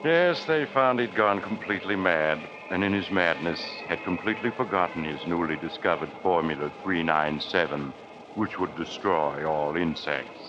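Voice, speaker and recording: An actor playing a sinister, teasing narrator host in an old radio drama, with a deep, insinuating voice. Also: male voice, normal speed, close to an everyday voice. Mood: sly. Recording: muffled old radio recording with music.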